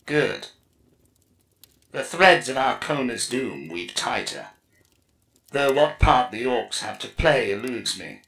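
A man plays a grave, cryptic alien warrior seeress in an audiobook. The voice is creaky and sharp, processed with effects, and speaks in solemn, portentous pronouncements. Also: medium pitch, expressive, slow pace, long pauses, full character voice, poor audio. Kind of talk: dramatic audiobook character acting.

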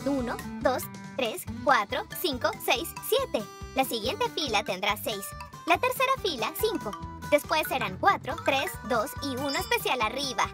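High, lively instructive woman narrator. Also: unhurried. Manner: clear, instructive. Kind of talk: scripted cartoon narration. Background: music.

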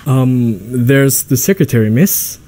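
Casting: male actor as polite, hesitant butler for radio play, soft mellow tone, medium pitch. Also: measured pace, close to an everyday voice. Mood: deferential, hesitant. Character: deferential, hesitant household butler. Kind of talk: radio drama acting.

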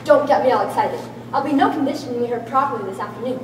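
A woman's voice: fairly high pitch, fast talker, lightly acted. A young woman in an amateur stage play, playing a wife's poised, calculating social mask with suave, formal diction. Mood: flustered. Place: large school hall with small audience. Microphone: far camera. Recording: echoey hall, distant camera, room noise.

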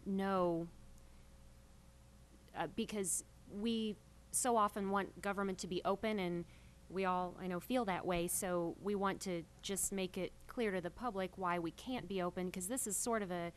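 A woman's voice: mid-range voice, steady tone, moderate pace. A woman speaking as a council member at a public meeting, earnest and roundabout in her phrasing.

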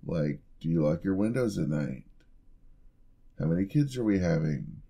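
A man voicing a flirty yandere husband in an ASMR roleplay, smooth-talking and teasing with a playful menacing edge. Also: sits low, lively intonation, moderate pace, warm tone, full character voice.

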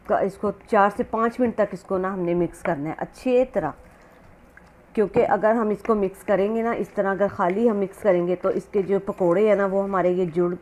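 A woman's voice: medium pitch, a little mellow. A woman giving a casual, step-by-step recipe narration as a home cook, in a conversational, instructional manner. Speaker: home cook hosting a cooking channel. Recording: clear background noise, kitchen ambience.

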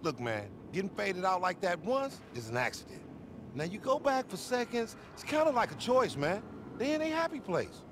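A man's voice: sits high, sing-song, moderate pace, noisy background. A man playing a boastful, foul-mouthed young hustler in a video game cutscene, with an American accent and slangy, cajoling banter. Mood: easygoing, persuasive.

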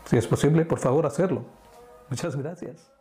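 A man in his thirties from Kerala in India, a native Malayalam speaker with an Indian accent, presenting to camera in a second language with halting, deliberate phrasing. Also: on the high side, mellow. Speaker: video host. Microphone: clip-on lapel mic.

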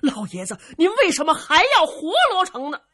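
A woman voicing a fierce, hot-tempered male general in a storytelling tale, loud and challenging. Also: medium pitch, huge pitch swings, measured pace, slightly rough, heavily acted. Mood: eager, threatening.

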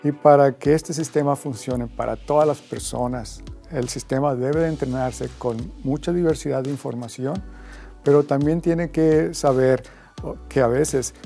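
A man in his fifties answering interview questions about technology in a measured, deliberate way. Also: mid-range voice, vocal fry, warm tone. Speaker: tech expert.

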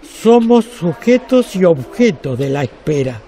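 A man's voice: a little high, sing-song, dark tone. Reflective elderly Argentine man. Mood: reflective, resigned. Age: 80s. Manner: deliberate, reflective. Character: weary eighty-year-old retired man, reflective and resigned. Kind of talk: audio drama monologue. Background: music.

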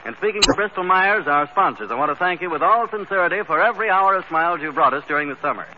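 Bright, brisk 1930s American radio announcer. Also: male voice, high voice, expressive. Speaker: announcer for the sponsor. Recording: dull, faintly noisy 1930s radio recording.

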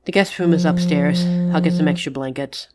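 A woman acts out a witch who is a pushy, caring friend. She has a moderately low female voice and a measured, frank delivery edged with exasperation, in the manner of a dramatic audio roleplay. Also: loud music behind the voice, lightly acted. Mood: resigned.

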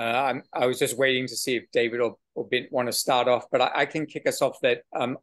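A man in his forties, the director of an arts venue, answering a question on a video call in a measured, slightly halting way. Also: medium pitch. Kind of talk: panel conversation on a video call. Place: small room with brick wall. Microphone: video call webcam.

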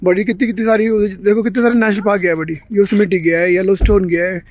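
A man in his thirties from India speaks in a high-pitched voice. He narrates a trip in a chatty, conversational way, addressing viewers directly into a phone held at arm's length. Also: fairly even intonation, fast talker, no room sound. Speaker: travel vlogger. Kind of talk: casual vlog narration to camera, Hindi mixed with English. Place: outdoors at mountain overlooks and inside a car.

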